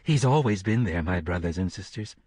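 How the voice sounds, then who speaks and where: A man's voice: low voice, animated, a little fast, a little mellow, no echo, pitched below the performer's own voice. A man reading an audiobook, voicing a commanding female preacher who thunders out a hellfire sermon with rising cadences. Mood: fervent. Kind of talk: audiobook character voice.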